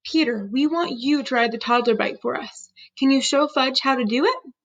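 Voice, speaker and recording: Woman reading as a high-voiced, coaxing storybook dad. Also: moderate pace, recorded from a distance. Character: coaxing, firm father of two boys. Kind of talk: children's storybook read-aloud. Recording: dull lo-fi recording.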